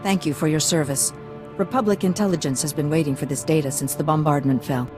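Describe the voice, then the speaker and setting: Deep-voiced actress as a formal military commander. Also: female voice, fast talker, natural voice. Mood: formal, appreciative. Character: female Republic military commander, formal and appreciative. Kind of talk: video game voice acting. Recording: loud game music behind. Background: music.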